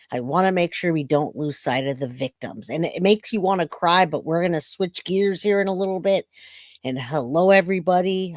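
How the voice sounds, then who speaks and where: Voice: medium pitch, a little mellow, no echo, studio quality. A livestream host chatting casually to viewers and giving informal commentary.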